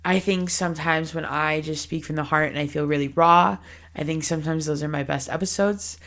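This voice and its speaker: Young female podcast host, early 20s, slightly low voice, chatty, giggly, full of fillers. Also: fairly even intonation, brisk, fairly bright tone. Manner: chatty, candid, laughs often, many "like" fillers. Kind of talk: casual solo podcast chat.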